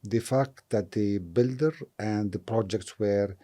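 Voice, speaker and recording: Lebanese guy, middle-aged, lowish mellow voice, thinks out loud with lots of uhs. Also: a little slow. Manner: thoughtful, hesitant with frequent fillers. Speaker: property investor, interview guest. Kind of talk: casual interview answers.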